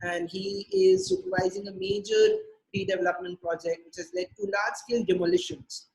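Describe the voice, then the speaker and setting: A man whose first language is Urdu, a translator and poet answering interview questions over a video call in a measured way, with frequent filler pauses. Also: extremely high pitch, big pitch swings, vocal fry, poor audio.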